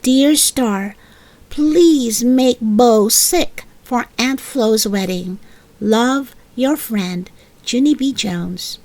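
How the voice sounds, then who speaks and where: A woman's voice: a little high, darkish tone. A woman voicing an eager, bratty little girl in a children's storybook, dramatic and pleading, with childlike emphasis. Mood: earnest wishing. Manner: childlike, emphatic, drawn-out wishing and protesting. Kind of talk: animated children's storybook reading.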